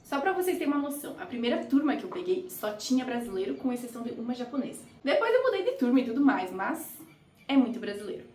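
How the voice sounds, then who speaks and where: A woman's voice: on the high side, sing-song, fast talker, a little mellow, recorded from a distance. A young woman from Brazil, chatting casually in a travel vlog with jokey asides. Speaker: vlogger. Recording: roomy echo, off-mic.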